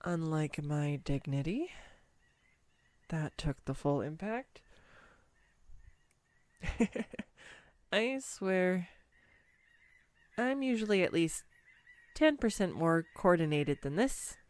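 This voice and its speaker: Woman acting as clumsy young woman on a first date, flustered, self-deprecating, slow rambling pace, dry close recording, intimate audio roleplay. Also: sits low, expressive, no echo. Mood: embarrassed, sheepish.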